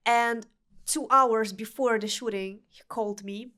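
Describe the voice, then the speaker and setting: A young woman from Uzbekistan who speaks English with a Russian accent as a non-native speaker, talking earnestly with halting phrasing and restarts as a podcast guest. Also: fairly high pitch, fairly even intonation, pushed and bright. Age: young adult. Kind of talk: casual interview chat.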